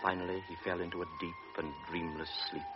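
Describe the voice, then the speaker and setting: A man narrating a radio drama in a grave, measured way. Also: low voice, flat delivery, over loud music. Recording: dull old radio recording with hiss.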